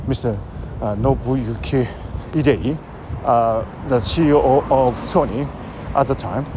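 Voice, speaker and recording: A man with a Japanese accent, hosting his own show and talking through ideas in a halting way, with many pauses and fillers. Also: medium pitch. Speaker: host. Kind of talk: casual spoken commentary. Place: outdoors in a city. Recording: outdoor street noise, traffic.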